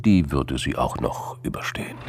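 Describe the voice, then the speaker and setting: A man's voice: very deep voice, expressive, normal speed, heavy background noise. A man reading scripted narration for a radio drama, with vehicle sounds and ambience behind him.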